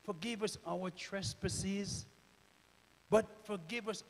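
A middle-aged man preaching a sermon on a church stage with a handheld microphone, swinging from loud shouts to hushed near-whispers. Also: high voice, steady tone, takes it slowly. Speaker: minister. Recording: reverberant church hall.

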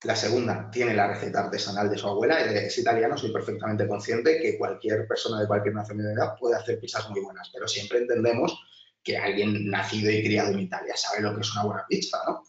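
Chatty instructor, distant roomy audio. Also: male voice, fairly low pitch, level tone, a little fast, lo-fi sound. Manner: chatty, many restarts and filler, addresses class directly. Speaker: design instructor. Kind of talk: webinar lecture over slides.

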